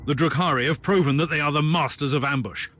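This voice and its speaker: An actor playing a stern, commanding inquisitor in a sci-fi video game, formal and authoritative. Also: male voice, fairly high pitch, quick pace, a little hiss or hum, lightly acted. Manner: authoritative, formal, clipped orders. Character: stern, commanding male inquisitor of dark future. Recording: loud music under the voice.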